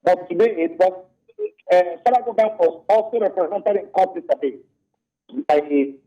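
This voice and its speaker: A man, a defense lawyer in a live news interview, speaking haltingly with many fillers. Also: sits high, warm tone. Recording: distant, muffled lo-fi remote line.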